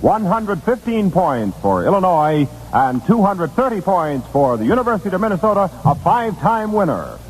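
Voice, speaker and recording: A man's voice: high-pitched, sing-song, normal speed, hardly any pauses. An American man making formal, polished scripted announcements in the style of 1950s radio. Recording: hissy 1950s radio recording.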